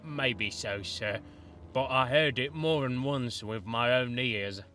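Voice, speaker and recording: A man's voice: medium pitch, slow pace, pushed and bright, faint music behind. A man doing a fussy, deferential old manservant. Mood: worried. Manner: deferential, rustic grammar, says sir a lot. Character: old male college manservant, fussy and gossipy.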